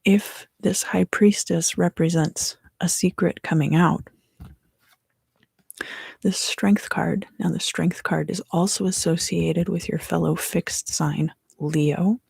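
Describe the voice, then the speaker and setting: Woman, ASMR tarot reader: very husky and breathy, hushed and often whispering, soothing and unhurried. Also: on the low side, fairly even intonation, no room sound. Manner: slow, soothing, hushed guided delivery. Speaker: tarot card reader and host.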